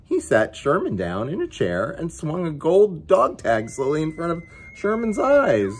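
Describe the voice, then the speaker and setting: A man's voice: a little high, wildly swooping pitch, measured pace, slight background noise. A man reading a children's picture book aloud as story time host, with playful, chatty asides to his pet dog.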